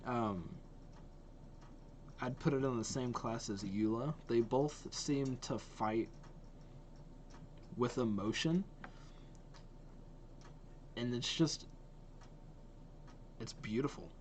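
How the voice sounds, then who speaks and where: A man's voice: mid-range voice, slow pace, long pauses, darkish tone. A young man giving casual, enthusiastic reaction commentary as a video host. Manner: enthusiastic, repetitive praise. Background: faint music.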